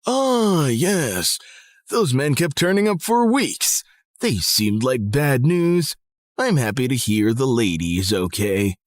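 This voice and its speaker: A man playing a mild, kindly elderly gentleman in an animated story. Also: mid-range voice, takes it slowly, no echo, clean studio sound. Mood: mild concern.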